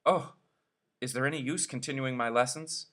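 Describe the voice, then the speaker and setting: A man's voice: medium pitch, normal speed, fairly bright tone, voice pushed up, natural voice. Man playing an eager, effusive headmaster. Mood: pathetic. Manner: effusive and pressing. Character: eager, vain, ingratiating male headmaster, music-obsessed.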